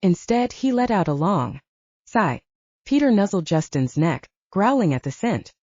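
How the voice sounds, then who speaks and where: A woman's voice: lowish voice, very animated, unhurried, darkish tone. A woman reading a scripted fiction audiobook as its narrator. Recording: dull, roomy, echoing audio.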